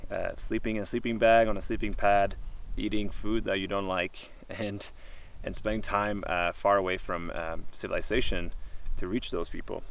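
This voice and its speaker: A man from Norway, speaking casually and earnestly to the camera outdoors above a mountain valley, with many ums and uhs. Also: on the low side. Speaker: missionary vlogger.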